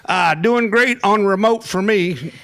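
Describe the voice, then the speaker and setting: A man's voice: high voice, measured pace, creaky, fairly bright tone. A man co-hosting a trucking podcast in casual, joking chat.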